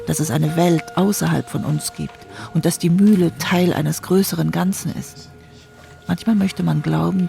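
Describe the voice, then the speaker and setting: A man's voice: a little high, vocal fry, warm tone. A man reading a reflective scripted monologue in an earnest, self-critical tone.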